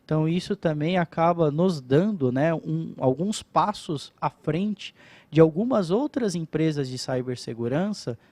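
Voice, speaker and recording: A man's voice: a little high, animated, measured pace, mellow, no room sound. A man presenting his company's cybersecurity services at an event and addressing the audience in a casual, explanatory way. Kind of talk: corporate presentation. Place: event stage at company office.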